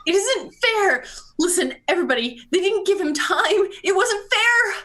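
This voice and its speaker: A woman's voice: very high voice, lively intonation, normal speed, sharp tone, full character voice. A woman voicing a desperate, pleading mother in a radio play, wailing and repeating herself in anguish. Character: desperate pleading wife and mother, frantic and tearful. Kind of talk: amateur radio play acting.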